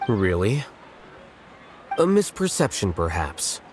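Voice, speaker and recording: An aloof young scholar official, with a fairly low voice. He speaks in a dry, detached, matter-of-fact way, a cerebral and blunt video game character. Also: very animated, slow, a little hiss or hum.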